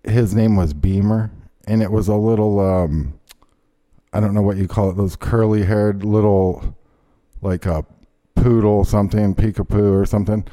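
A low-voiced man hosting a podcast, with a mellow, unforced tone. He rambles through casual stories, stopping and restarting with lots of ums. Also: fairly even intonation, moderate pace. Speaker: podcast host. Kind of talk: casual chat and ad read.

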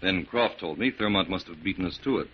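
A man's voice: low-pitched, fast, slightly rough. A man playing a captured killer confessing in a resigned, matter-of-fact way in a 1940s radio drama. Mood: resigned confession. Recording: muffled, noisy 1940s radio recording.

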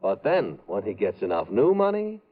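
Knowing, resigned older-style radio contact, low lively voice, tinny phone-like sound. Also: male voice, normal speed. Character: worldly, resigned man briefing an investigator friend. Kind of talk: 1950s radio drama acting.

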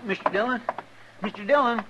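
A high-pitched male voice, an actor as an anxious, earnest, folksy deputy sidekick in a vintage radio western. The old recording is muffled and clearly hissy. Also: brisk, close to an everyday voice. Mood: anxious, urgent. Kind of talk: 1950s radio western acting.

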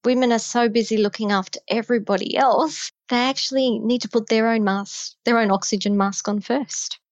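A woman's voice: fairly high pitch, fairly even intonation, moderate pace, no room sound, highs cut off. A woman with an Australian accent, a guest on a podcast, giving emphatic, direct advice on health in midlife. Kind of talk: podcast conversation.

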